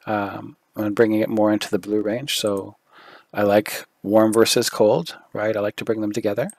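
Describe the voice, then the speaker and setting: A man giving a screen-recorded software tutorial, thinking aloud with fillers, his voice going hoarse. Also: fairly low pitch, little pitch movement, normal speed, no room sound. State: losing his voice, throat clearing.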